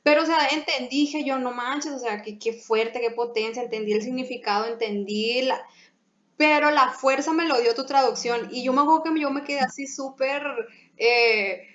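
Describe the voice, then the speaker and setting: A young woman with a Mexican accent chatting as host, lively, laughing often and restarting her phrases. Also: sits high, moderate pace. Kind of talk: casual conversation. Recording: echoey room, dull lo-fi sound.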